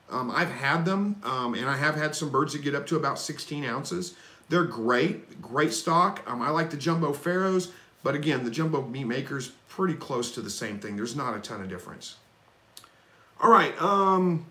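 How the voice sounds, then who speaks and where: A man with a somewhat high voice chats casually and folksily, wandering through his answers as he hosts a live stream. The sound is roomy and echoey. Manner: relaxed, folksy, rambling with fillers. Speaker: livestream host answering viewer questions.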